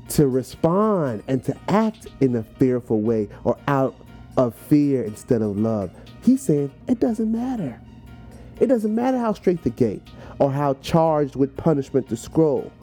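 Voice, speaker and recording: American guy doing a motivational podcast talk, soft warm tone, casual but emphatic, repeats himself for punch, light guitar behind him. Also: mid-range voice. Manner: emphatic, repeats phrases for effect; conversational, informal. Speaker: podcast host. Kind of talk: motivational talk explaining a poem.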